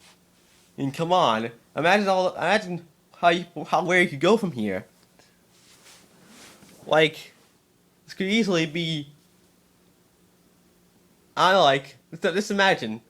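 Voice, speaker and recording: A man's voice: on the high side, expressive, slow, long pauses, fairly bright tone. A man reading aloud as an amateur narrator, now and then stumbling over his words. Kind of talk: dramatic story reading by an amateur.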